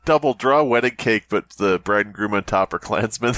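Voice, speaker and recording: A man's voice: fairly low pitch, normal speed. A man co-hosting a podcast, chatting casually and reading a story aloud while laughing and swearing a lot. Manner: lively, cracks himself up laughing and swearing.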